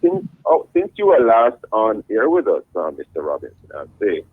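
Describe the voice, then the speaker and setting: A man's voice: lowish voice, huge pitch swings, normal speed, breathy edge, dry recording, narrow, tinny audio. A male radio morning show host, interviewing a guest politely and formally, calling him sir and restarting his sentences often. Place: radio studio.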